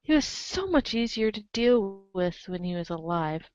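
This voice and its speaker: A woman narrating a comic story aloud in an expressive read-aloud style, on a slightly dull recording. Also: medium pitch, moderate pace, smooth, no echo. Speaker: narrator of a group webcomic reading.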